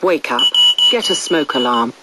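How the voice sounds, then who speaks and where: A grave, urgent announcer played by an actor reads a scripted safety warning. The voice is mid-pitched and sits in a very noisy film soundtrack. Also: lively intonation, moderate pace, natural voice. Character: grave, urgent smoke alarm campaign announcer. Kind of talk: scripted public information warning.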